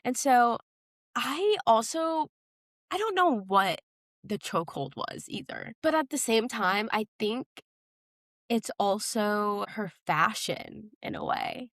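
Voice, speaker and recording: This is a young American woman co-hosting a casual podcast chat. Her voice sits a little high and her phrasing is slangy and emphatic. The recording is dry and close. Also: sing-song, takes it slowly, a bit bright, dry recording. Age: young adult. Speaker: podcast co-host.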